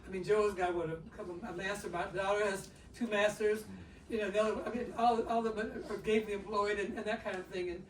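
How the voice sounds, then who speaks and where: An older man telling family stories in a community circle, animated and laughing, repeating words and quoting other people. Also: sits high, quick pace, slight background noise. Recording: echoey room, distant mic.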